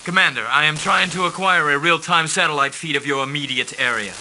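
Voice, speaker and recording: A smooth formal mercenary liaison. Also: male voice, fairly high pitch, a little hiss or hum, rough recording. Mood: composed. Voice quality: calm, formal, measured. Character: suave male mercenary liaison giving briefings. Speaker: mission handler. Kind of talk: scripted game briefing.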